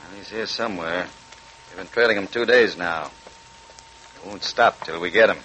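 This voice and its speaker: An actor playing a dogged country sheriff, plain and firm, in a 1940s radio crime drama. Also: male voice, low voice, moderate pace, bright, forceful tone. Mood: determined, suspicious. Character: dogged male country sheriff leading a manhunt. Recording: faintly noisy 1940s radio recording.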